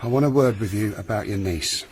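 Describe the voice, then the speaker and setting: A man's voice: lowish voice, fairly quick, darkish tone, a little hiss or hum, lightly acted. A man playing a probing young detective. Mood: concerned. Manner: direct, probing. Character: earnest young male suitor, police detective.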